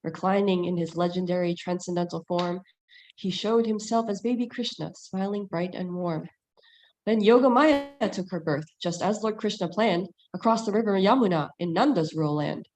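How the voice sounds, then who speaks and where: A woman narrating a sacred story in rhyming verse with an even storytelling cadence. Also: fairly low pitch, normal speed, low-quality recording.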